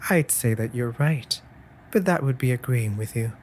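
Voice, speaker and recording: Raven familiar, acted by a performer: playful, mock-offended tease, animated intonation, affectionate, comfort roleplay, slight background noise. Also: medium pitch, normal speed, close to an everyday voice. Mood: drowsy, teasing. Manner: teasing, mock-offended, breaking into soft murmurs. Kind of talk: intimate comfort audio roleplay.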